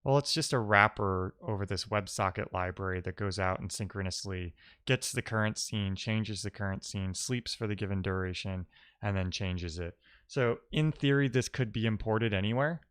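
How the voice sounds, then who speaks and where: A man's voice: lowish voice, moderate pace, fairly bright tone, clean tone. A man giving casual, think-aloud commentary as a live-coding streamer, often muttering to himself and trailing off.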